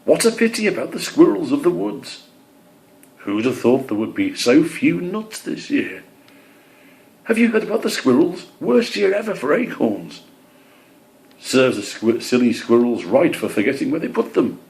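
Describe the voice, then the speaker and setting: Storybook bird, small, chatty and scandal-loving, pitched well up high by a male reader. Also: moderate pace, vocal fry, rough recording. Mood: gossipy, excited. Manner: excitable, gossipy chatter. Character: gossiping twittering little woodland birds, chatty and excited.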